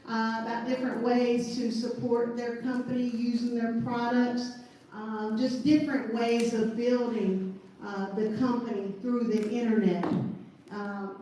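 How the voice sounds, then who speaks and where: A woman's voice: on the high side, warm tone. Southern woman presenter, upbeat and folksy, heard from afar over the mic, reverberant room. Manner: upbeat, folksy, addresses audience as y'all. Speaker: presenter at a company training event. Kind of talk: hurried presentation talk to an audience. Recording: very echoey hall recorded from far off.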